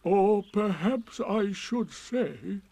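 Sarcastic storybook donkey, gloomy, slow, raised swooping pitch, indignant emphasis. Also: male voice, animated, vocal fry, mellow, full character voice. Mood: sardonic, aggrieved. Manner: drawn-out, emphatic, sardonic delivery.

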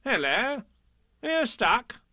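Storybook rabbit, performed by a man: fussy, superior, politely prim, pitched high and bouncing in tone. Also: very animated, slow pace, sharp tone, no room sound, studio quality, full character voice. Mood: brisk. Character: brisk, polite, fussy, know-it-all male rabbit.

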